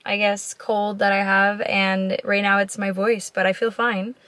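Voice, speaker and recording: A woman's voice: mid-range voice, little pitch movement, a little slow, a bit bright, no room sound. A young woman in her twenties gives a casual vlog tour of her SUV, chatty and full of ums and likes, her voice scratchy from a cold. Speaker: vlogger. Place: inside a parked SUV and outdoors on a driveway. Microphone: handheld camera at arm's length.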